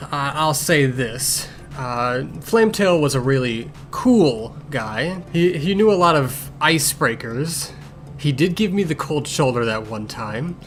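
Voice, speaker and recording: A man's voice: mid-range voice, a bit bright. Guy playing a grumpy young cat medic, snippy and sarcastic, defensive, music playing behind. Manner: curt, irritable, defensive. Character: grumpy, sarcastic blind young tomcat healer. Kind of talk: improvised in-character fan Q&A.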